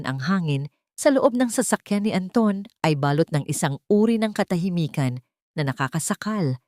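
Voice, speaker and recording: A woman reading a scripted first-person story in a solemn, melodramatic, deliberate manner. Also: on the low side, a little mellow, no room sound, studio quality.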